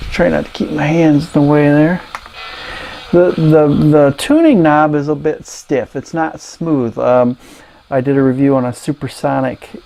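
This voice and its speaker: A man's voice: mid-range voice, creaky, warm tone, a little hiss or hum. Hesitant man giving a casual product review. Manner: hesitant, halting, rambling delivery. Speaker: radio reviewer and presenter.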